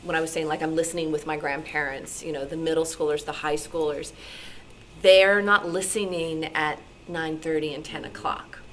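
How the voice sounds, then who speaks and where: Low-voiced woman, halting and conversational. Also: fairly even intonation, slight background noise. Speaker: radio show programmer answering listener questions. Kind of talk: informal talk at a club meeting.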